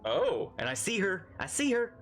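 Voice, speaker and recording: A woman's voice: mid-range voice, very animated, normal speed, music in the background. A woman streamer giving casual live game reaction commentary, chatty and thinking aloud.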